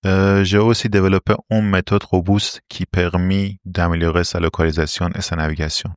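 Low-voiced Iranian-accented man, formal and explanatory. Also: flat delivery, moderate pace, smooth, no echo, studio quality. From Iran. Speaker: interview guest, robotics R&D engineer. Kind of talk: prepared interview answers.

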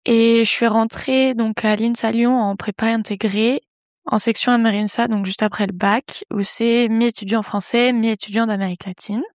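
A young woman speaks at a fairly high pitch for a woman, explaining her internship in a measured, orderly way. The sound is close and dry, with no room around it. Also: steady tone, dry recording. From Paris region, France. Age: young adult. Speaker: engineering intern. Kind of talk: scripted self-presentation.

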